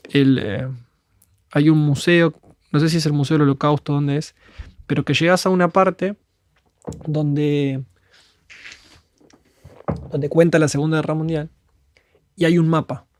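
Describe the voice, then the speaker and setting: A man from Argentina speaks with a broad Argentine Spanish accent and a voice pitched a little above the usual for men. He preaches in an earnest, fervent, conversational way and slips into prayers as if speaking them aloud. The sound is dry and close, with no room in it. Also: no echo. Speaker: guest speaker.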